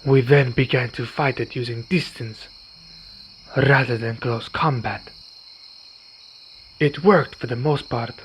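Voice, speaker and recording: Man acting a slow, formal, weary vampire. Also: medium pitch, fairly even intonation, lightly acted. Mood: solemn recounting. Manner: formal, measured, deliberate phrasing. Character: weary, courteous male vampire, wounded but calm. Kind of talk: ASMR roleplay. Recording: dull recording with night insect noise. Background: crickets and night insects.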